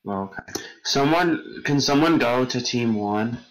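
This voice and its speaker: A man's voice: mid-range voice, animated, unhurried, rough recording. A man playing an online game, teasing and self-deprecating in casual voice chat.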